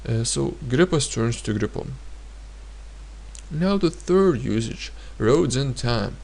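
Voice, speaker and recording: A man teaching a grammar lesson in a hesitant way, pausing often and repeating each example sentence. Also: mid-range voice, expressive, gravelly, a little mellow, a little hiss or hum. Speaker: language teacher.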